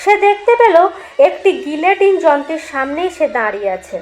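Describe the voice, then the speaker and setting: A woman with a high voice narrates a ghostly tale as if for an audiobook. Her delivery is literary and dramatic, following a written script in a storyteller's way. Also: big pitch swings, a little fast, a little mellow, poor audio.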